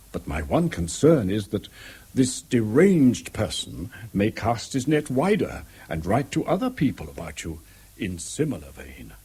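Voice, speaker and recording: Senior English official, mellow and very husky, hesitant, formal, discreet; 1970s radio drama. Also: male voice, fairly low pitch, heavily acted. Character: embarrassed, supportive senior male official, formal and tactful. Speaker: actor in a radio play.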